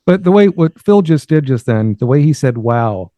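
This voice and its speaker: A man co-hosting a podcast as a guest, chatting quickly and eagerly about what he hears. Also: mid-range voice, animated, dark tone, no room sound, studio quality. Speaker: guest co-host. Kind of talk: casual podcast commentary.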